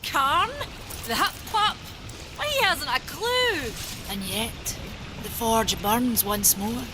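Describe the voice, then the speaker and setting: An actress playing a proud female forge leader in a fantasy video game, measured and commanding with archaic wording. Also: a little high, lively intonation, noisy background. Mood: surprised, proud. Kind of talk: video game cinematic acting. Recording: music behind the voice.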